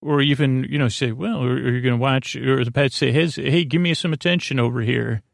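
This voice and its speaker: A male podcast host with a gravelly, fry-heavy voice at a middling pitch. He talks in a meandering, halting bedtime monologue, circling back and repeating himself as he wanders off topic. The sound is very dry, with no room around it. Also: dry recording. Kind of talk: rambling bedtime podcast intro, casual monologue.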